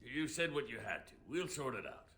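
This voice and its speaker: Wry, bright-voiced elf rogue mage. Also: male voice, medium pitch, big pitch swings, a little fast. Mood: reassuring. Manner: wry, casual. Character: wry male elf apostate mage, loyal, casual. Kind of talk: video game cutscene acting.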